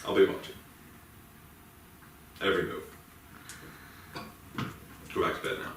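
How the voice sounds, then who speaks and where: An actor playing a stern, bullying police officer in a staged play reading, curt, commanding and needling. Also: male voice, measured pace, fairly bright tone, lightly acted. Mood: commanding, menacing. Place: theatre stage, indoors. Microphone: distant room microphone. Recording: very echoey theatre, distant mic, faint noise.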